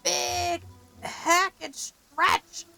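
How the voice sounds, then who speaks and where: A woman's voice: very high-pitched, level tone, drawn-out words, music in the background. A woman hosting a game livestream, chatting casually and reacting playfully and expressively to what happens.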